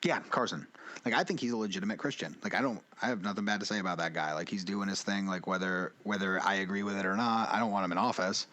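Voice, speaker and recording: A man's voice: low-pitched, quick pace, bright, forceful tone. A young man co-hosting a casual podcast, laid-back and rambling, swearing casually. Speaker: podcast co-host. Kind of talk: casual chat.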